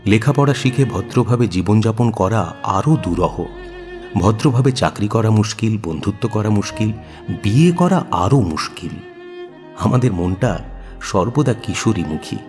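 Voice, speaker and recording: Animated, low-pitched male story narrator. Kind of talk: expressive scripted story narration.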